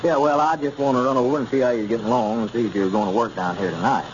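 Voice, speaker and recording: A man's voice: medium pitch, very fast, close to an everyday voice. An actor playing a helpful, easygoing small-town man who talks plainly in a 1930s radio comedy. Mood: friendly. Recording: noisy, muffled 1930s radio recording.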